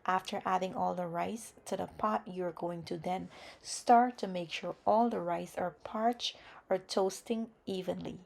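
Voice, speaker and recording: A woman with a fairly low voice and some creak in it narrates cooking instructions. She explains things step by step in an orderly way, as the host of a home cooking channel. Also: fairly even intonation, measured pace, darkish tone.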